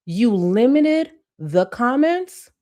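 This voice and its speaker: A woman's voice: a little high, very animated, takes it slowly, mellow. A woman hosting a live commentary stream, reading chat and mocking her target with heavy sarcasm and swearing.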